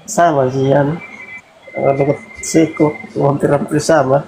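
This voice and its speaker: A man's voice: a little high, flat delivery, dark tone, slight background noise, rough recording. Hesitant man on a stream call. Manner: hesitant, halting, few words. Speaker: live-stream guest. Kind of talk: casual call-in chat.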